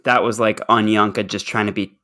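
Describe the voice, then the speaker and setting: Man chatting casually in halting asides. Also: on the low side, level tone, sharp tone. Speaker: podcast co-host. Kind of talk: casual chat.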